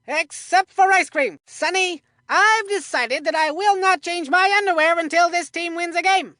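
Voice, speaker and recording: A woman voicing an excitable, folksy, opinionated kid sports commentator in a scripted cartoon baseball game. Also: very high voice, normal speed, no room sound, studio quality. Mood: eager, opinionated. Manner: folksy, emphatic, addresses a broadcast partner.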